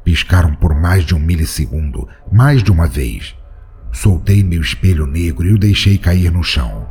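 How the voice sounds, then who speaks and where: A man's voice: sits low, animated, moderate pace, gravelly, dark tone, husky, soft background music. A man narrating a first-person horror story in a dramatic, tense storytelling manner. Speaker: narrator and podcast host.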